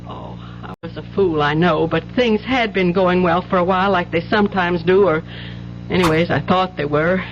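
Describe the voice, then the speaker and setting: Weary working mother played by a woman, folksy and plain-spoken, low-key register, dull and slightly noisy vintage radio sound. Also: normal speed, lightly acted. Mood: anxious, despairing. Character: weary hard-working mother, wife of a drinking gambler. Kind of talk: old-time radio drama acting.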